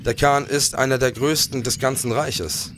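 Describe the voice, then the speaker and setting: A man's voice: medium pitch, normal speed, fairly bright tone, a little hiss or hum, close to an everyday voice. An actor playing an earnest, dramatic policeman investigating a crime in a historical audio drama. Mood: explaining. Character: male security policeman investigating and narrating a historical crime case. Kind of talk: German audio drama acting.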